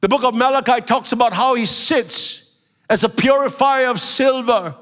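Nasal-sounding man preaching a sermon. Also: very high voice. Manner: preaching, rising to shouts and slowing for emphasis. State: has a flu. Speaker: pastor.

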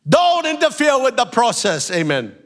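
Male pastor, high-pitched, slightly nasal with a cold; sermon delivery with shouted, slowed emphasis. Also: wildly swooping pitch. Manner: preaching, rising to shouts and slowing for emphasis. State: has a flu.

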